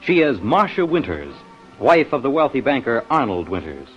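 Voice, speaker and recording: A man's voice: mid-range voice, sing-song, moderate pace, dull audio, lightly acted. A man narrating a 1950s radio detective drama as its dramatic announcer. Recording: old band-limited radio recording, music behind.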